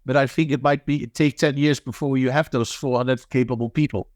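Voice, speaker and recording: Man, smooth hesitant speech. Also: medium pitch, steady tone, no room sound, studio quality. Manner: halting, many fillers and restarts. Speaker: guest, managing director of an anti-scam nonprofit. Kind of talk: interview answers, thoughtful and hesitant.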